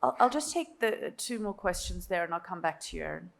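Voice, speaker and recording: A woman moderating a panel, briskly managing questions in an informal spoken style. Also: fairly low pitch, expressive, gravelly.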